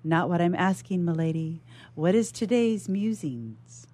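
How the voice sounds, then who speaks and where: A woman's voice: lowish voice, expressive, unhurried, dark tone. A woman reading the part of a fussy, exasperated servant who lectures a lady in formal, insistent words. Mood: exasperated, lecturing.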